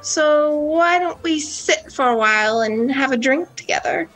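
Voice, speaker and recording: Woman acting an anxious, very high-voiced club girl. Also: unhurried, fairly bright tone, rough recording. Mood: coaxing, furtive. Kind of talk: amateur radio play acting.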